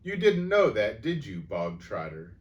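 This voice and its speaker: A man voicing a furious, bullying headmistress who barks and booms insults at a child. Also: huge pitch swings, measured pace, dull audio. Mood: silky menace. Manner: booming, barking insults. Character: bullying hulking headmistress, woman, furious and cruel.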